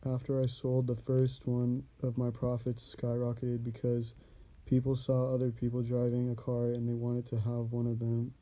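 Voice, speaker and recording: Earnest car inventor played by a man, radio interview skit. Dark, mellow tone, flat delivery, plainly recited. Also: medium pitch, normal speed, natural voice, low-quality recording.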